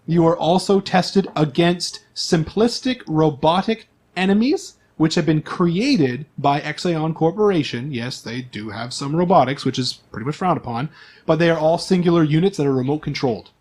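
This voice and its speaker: A man's voice: on the high side, lively intonation, normal speed, a little mellow. A man speaking as game master, explaining the game's setting to his players in an informal, conversational way.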